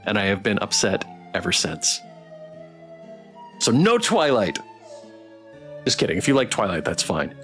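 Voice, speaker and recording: Lively man chatting on a game stream. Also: fairly low pitch, unhurried, pauses often. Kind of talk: casual game livestream chat. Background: music.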